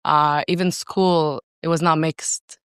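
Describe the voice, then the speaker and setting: A woman's voice: sits low, steady tone, takes it slowly, a bit bright, clear, resonant tone, no room sound, studio quality. A woman from Saudi Arabia talking casually and self-assuredly as a guest in an interview, with emphatic delivery. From raised in Saudi Arabia. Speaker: podcast guest, entrepreneur.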